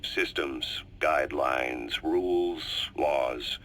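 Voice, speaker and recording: A low, forceful male voice for a video game spy. He is an older, calculating intelligence man who lays out secrets coolly and deliberately, with a conspiratorial weight to his phrasing. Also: heavily acted. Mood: solemn, reflective. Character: calculating older male covert intelligence operative, cool and cryptic. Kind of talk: scripted video game monologue and dialogue.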